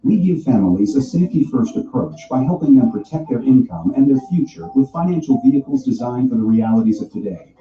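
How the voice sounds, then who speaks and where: A man reading a scripted corporate promotional narration with a smooth, polished delivery. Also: mid-range voice, dark tone. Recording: dull, distant video playback in an echoey room. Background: background music.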